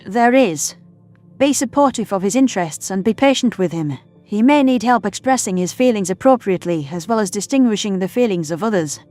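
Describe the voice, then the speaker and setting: A woman acting a kindly but firm scientist in a sci-fi audio drama. She explains patiently at a middle pitch, over faint music. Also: animated, brisk, dark tone, close to an everyday voice. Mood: supportive explaining. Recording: music under the voice.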